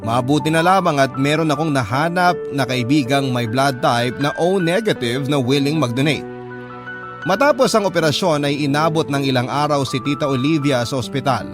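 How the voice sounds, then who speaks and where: A man's voice: medium pitch, normal speed, over music, lightly acted. An actor playing an earnest, wistful young man who narrates his love story in a scripted, reflective radio drama style. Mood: grateful, relieved.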